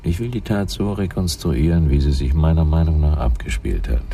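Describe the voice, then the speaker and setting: Very deep, gravelly hard-boiled detective. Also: male voice, normal speed, warm tone, slight background noise. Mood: blunt. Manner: blunt, clipped, matter-of-fact. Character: hard-boiled older male homicide detective, blunt and grim. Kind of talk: radio drama monologue, crime reconstruction.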